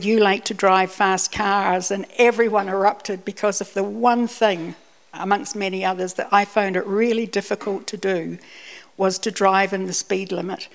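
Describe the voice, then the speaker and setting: New woman preaching conversationally. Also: medium pitch. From Scotland, raised in New Zealand. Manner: conversational, repeats words when restarting. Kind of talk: personal sermon with anecdotes.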